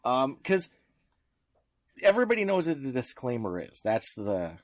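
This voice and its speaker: A man's voice: medium pitch, huge pitch swings, normal speed, dry recording. A man casually explaining things as a panelist in a podcast discussion.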